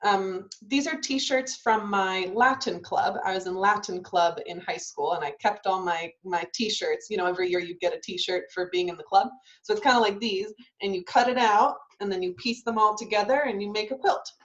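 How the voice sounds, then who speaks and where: A woman's voice: mid-range voice, normal speed, fairly bright tone, muffled recording. A young adult woman, a ballet dancer born in Italy and raised in Southern California, chatting casually in an interview with lots of ums and restarts. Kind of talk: casual interview over video call.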